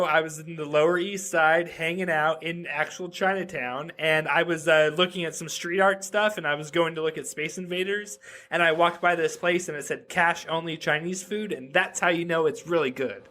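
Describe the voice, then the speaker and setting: A man in his thirties with a bright, forward tone and a slightly high voice, talking as a podcast guest. He rambles cheerfully, stacks up "like" fillers and restarts his sentences as he jokes along. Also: fairly even intonation, normal speed. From Reno, Nevada. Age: 30s. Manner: chatty and joking, many "like" fillers and restarts. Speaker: podcast guest, web developer. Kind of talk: casual interview chat.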